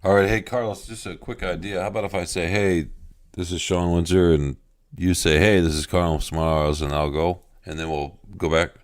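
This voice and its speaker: A man's voice: low voice, fairly even intonation, a little fast. A male podcast host casually talking through a plan with his co-host in an easygoing way. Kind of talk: casual chat.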